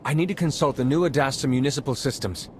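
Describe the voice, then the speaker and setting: Actor as male field agent, mid-pitched, brisk, pragmatic, businesslike, sci-fi game dialogue. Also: darkish tone, a little hiss or hum, natural voice. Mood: brisk, focused. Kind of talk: video game dialogue acting.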